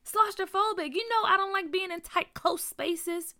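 A high, bright kid's voice for an excitable young boy in a children's story, acted by a woman. He is dramatic and good-hearted, with informal, slangy speech. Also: unhurried, heavily acted. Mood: panicky, defensive.

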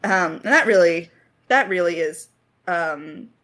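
A woman with a slightly low voice talks through her writing in a casual Q&A. She thinks aloud, hesitating and gently putting herself down as she goes. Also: lively intonation, slow pace. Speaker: lead writer of an audio drama podcast. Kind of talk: casual creator Q&A discussion.

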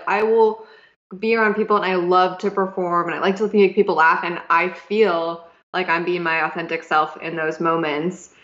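A young woman with a fairly low voice talks things through slowly and reflectively, pausing and restarting often. She sounds like she is in an echoey room, and the audio is a bit dull and lo-fi. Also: fairly bright tone. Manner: thoughtful, halting, many fillers and restarts. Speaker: podcast host. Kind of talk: reflective casual conversation.

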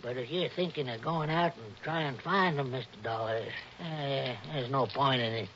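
An actor playing a cautious, folksy old Western guide with a rural American drawl in a 1960s radio drama. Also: male voice, medium pitch, moderate pace, a bit bright, lightly acted. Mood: folksy, cautioning. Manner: folksy, drawling, ungrammatical backwoods talk. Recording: dull, noisy vintage radio recording.

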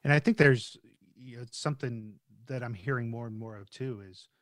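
Male host, relaxed encouraging interviewer, mid voice, dry recording. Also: medium pitch, normal speed, dry recording. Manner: casual, encouraging questions. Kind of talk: conversational interview.

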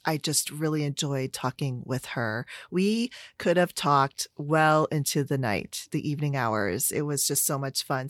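A woman's voice: low voice, no echo, studio quality. A woman hosting a podcast, talking in a chatty, enthusiastic way in a casual interview style. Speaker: podcast host.

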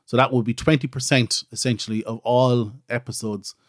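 A man's voice: medium pitch, steady tone, normal speed, no echo. Irish man hosting a podcast, dry sound. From Ireland. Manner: rambling, self-deprecating, frequent "so" and "you know". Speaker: podcast host. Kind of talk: casual solo podcast chat.

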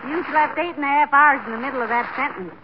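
An actress playing a patient, sensible wife who chides and teases gently in a genteel, dry way, in a 1940s radio comedy. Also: female voice, high voice, fast, over a noisy crowd, natural voice. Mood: teasing, patient. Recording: noisy muffled old 1940s radio recording.

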